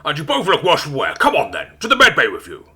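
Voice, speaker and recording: A man's voice: fairly high pitch, wildly swooping pitch, fast, sharp tone, husky, soft background music, pitched above the performer's own voice, exaggerated voice. Man doing a breathless alien captain. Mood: commanding. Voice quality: commanding, out of breath.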